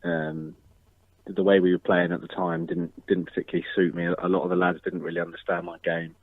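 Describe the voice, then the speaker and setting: A young man in his twenties talks over a tinny phone line. His voice sits very low and sounds dry and close. He answers questions modestly and measuredly, full of ums and restarts. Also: little pitch movement, fairly quick, dry recording. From Northern Ireland. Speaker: football striker, interview guest. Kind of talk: casual interview answers.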